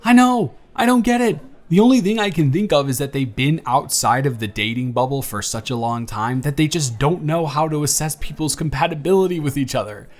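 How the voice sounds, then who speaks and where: A man's voice: fairly high pitch, lively intonation, brisk, hardly any pauses, lightly acted. Man acting a charming, teasing young blind date. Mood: playful, bantering. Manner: bantering, playful, chatty. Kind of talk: romantic audio roleplay acting.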